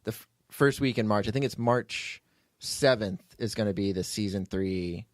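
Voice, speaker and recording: A man's voice: lowish voice, moderate pace, no room sound, studio quality. A man hosting a podcast as its writer and producer, telling behind-the-scenes stories in a casual, rambling way.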